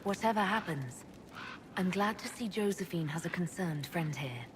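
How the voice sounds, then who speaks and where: A woman's voice: on the low side, lively intonation, moderate pace, noisy background, close to an everyday voice. An actress playing a self-assured, courtly woman leader in a video game, composed and direct in her delivery. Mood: firm. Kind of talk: video game cut-scene acting.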